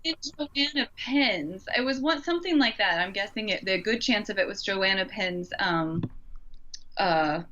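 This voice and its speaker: A woman chats informally as a podcast co-host, thinking aloud as she goes. Her voice is bright, at an average pitch for a woman. The recording is a little dull and lo-fi. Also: measured pace, slight background noise. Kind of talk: casual podcast chat.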